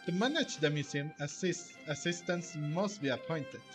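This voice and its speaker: Higher-pitched man doing let's-play commentary. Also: moderate pace. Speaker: gaming video host. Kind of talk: casual let's-play commentary, reading game text aloud. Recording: game music clearly behind the voice. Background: video game music.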